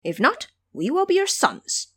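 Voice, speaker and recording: A woman's voice: very high-pitched, huge pitch swings, a little slow, no room sound, voice pushed up, heavily acted. A woman voicing a gullible, eager male imp servant in an audio storybook. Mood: doubting.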